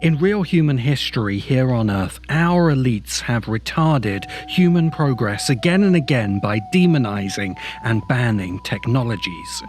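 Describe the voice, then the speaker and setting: A man's voice: medium pitch, animated, a little slow, few pauses. Man narrating an emphatic video essay. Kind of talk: scripted narration. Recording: music behind the voice. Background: background music.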